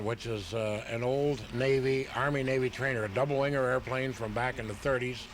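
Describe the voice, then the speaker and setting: A man casually explaining his hobby as a radio interview guest, in a matter-of-fact way. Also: mid-range voice. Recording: noisy home tape of live radio.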